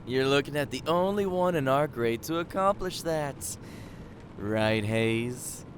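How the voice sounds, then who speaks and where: Slow-drawling, cocky teenage bully played by a man. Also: medium pitch, animated, slight background noise, natural voice. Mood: smug, mocking. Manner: taunting, mocking. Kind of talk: audio drama acting.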